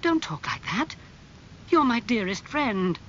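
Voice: mid-range voice, big pitch swings, normal speed, bright, forceful tone, muffled recording, a little hiss or hum, pitched above the performer's own voice. A narrator playing an earnest, wounded male painter and devoted friend in a dramatised audiobook. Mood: distressed, angry.

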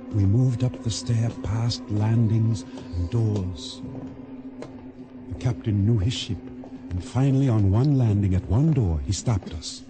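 A man's voice: fairly low pitch, close to an everyday voice. Earnest island boatman over music, a man acting. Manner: simple, deliberate, slightly formal phrasing. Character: naive, earnest island boatman, wronged and fearful. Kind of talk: radio drama acting and first-person narration.

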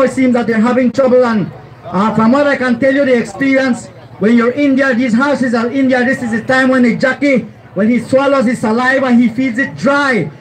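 A middle-aged man giving live horse race commentary outdoors at a track, speaking into a handheld mic and rising to shouts as the horses run. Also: very high voice, poor audio. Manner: excitable, rising to shouts at the finish. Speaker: race announcer. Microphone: handheld mic held close.

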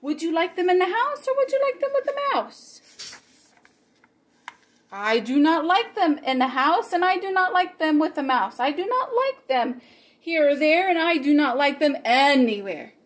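A woman preschool teacher reading a children's storybook aloud and chatting to her young audience in a playful, exaggerated, expressive way. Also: sits high. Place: home kitchen, indoors.

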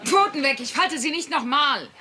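A woman's voice: high voice, animated, moderate pace, pushed and bright, a faint crowd, a little hiss or hum. An actress playing a bossy, volatile working-class wife and mother in a sitcom dub, with a nagging, sarcastic manner. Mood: bossy, exasperated. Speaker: voice actor in a German sitcom dub.

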